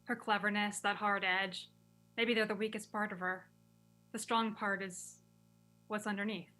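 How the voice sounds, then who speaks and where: A woman's voice: mid-range voice, level tone, normal speed, pushed and bright, close to an everyday voice. A woman acting a caring but firm young woman in a radio play script reading. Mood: tender, reflective.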